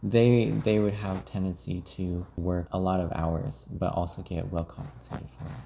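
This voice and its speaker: A man answering questions in a casual interview, explaining things in a measured way. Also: low voice, flat delivery, creaky, mellow, slight background noise. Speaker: interview guest working in finance IT.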